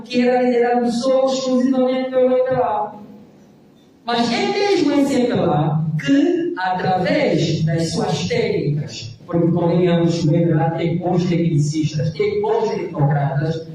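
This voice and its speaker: A man's voice: high voice, dark tone, slight background noise. A man, a civic activist arguing emphatically on a debate panel, often adding rhetorical tag questions. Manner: emphatic, rhetorical, frequent "não é?" tags. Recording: echoey room, distant mic.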